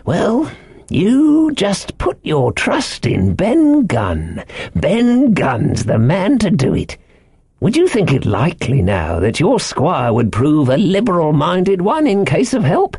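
A half-crazed castaway sailor from a storybook. The voice is pitched very high and swings wildly, drawling slowly through wheedling, rambling seafaring dialect, by turns sly and childishly pious. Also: male voice, huge pitch swings, darkish tone, breathy edge, dry recording, clean studio sound, full character voice. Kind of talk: audiobook character voice.

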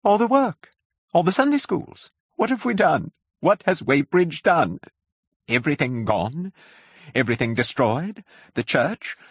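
A man doing a shrill, frantic curate. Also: very animated, unhurried, slightly rough, no room sound, highs cut off, heavily acted. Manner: shrill, wailing, preachy. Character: panicked male curate, frantic, half-mad with fear.

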